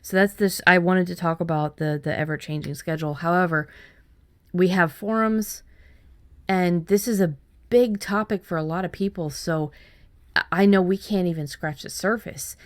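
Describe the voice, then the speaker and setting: A woman with a fairly low-pitched voice hosts a writing podcast. She talks in a loose, chatty way, often restarting and repeating words as she goes. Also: steady tone, normal speed. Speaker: podcast host. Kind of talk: casual podcast chat.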